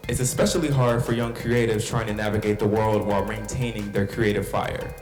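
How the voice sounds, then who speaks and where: A man's voice: fairly low pitch, steady tone, a little fast, a little mellow, reverberant, faint music behind. A man hosting a discussion podcast, opening with upbeat introductions and then asking his guests questions. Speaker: host.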